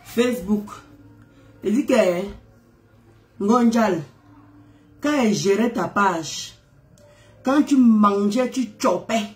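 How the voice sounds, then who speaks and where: A woman's voice: mid-range voice, slow, long pauses, darkish tone, soft background music. A woman with a head cold, chatting casually on a live stream, greeting viewers, teasing and exclaiming with frequent laughs.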